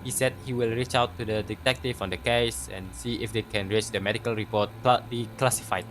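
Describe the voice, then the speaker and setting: A man's voice: fairly low pitch, steady tone, fairly quick, fairly bright tone, a little hiss or hum. A man doing casual let's play commentary, stumbling as he reads text aloud and swearing freely. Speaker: gaming video host.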